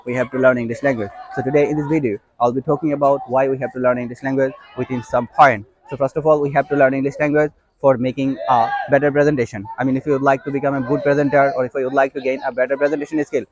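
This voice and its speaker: A man's voice: mid-range voice, little pitch movement, fast, hardly any pauses, dry recording, muffled recording. A young man with a Bangladeshi accent and Bengali as his first language, giving an instructional talk to learners, repeating and stressing his points. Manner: repetitive, emphatic explaining. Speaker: English teacher.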